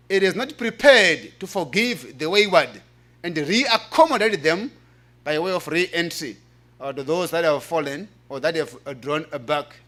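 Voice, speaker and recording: High-pitched, bright-voiced African man presenting. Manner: earnest, emphatic, repeats key phrases. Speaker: presenter reading a paper at a church health forum. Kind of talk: preaching-style conference presentation.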